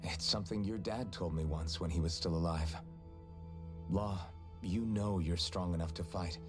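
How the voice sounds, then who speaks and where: An actor voicing a calm, caring young male swordsman hero in a video game cutscene, speaking in a steady, reassuring way. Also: deep voice, lightly acted.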